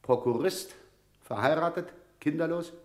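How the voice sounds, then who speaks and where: An actor in a radio play playing a glib, cocky visitor with flowery, breezy talk, recorded in a roomy sound. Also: male voice, fairly high pitch, a little slow, close to an everyday voice. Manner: flowery, breezy, smooth-talking. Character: glib, cocky male visitor posing as an auditor.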